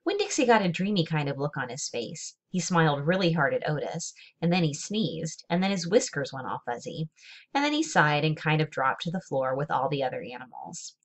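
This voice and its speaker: A woman with a low voice reads a children's novel aloud. Her delivery is measured and expressive, with deliberate emphasis as she tells the story. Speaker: read-aloud reader. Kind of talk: expressive children's book narration.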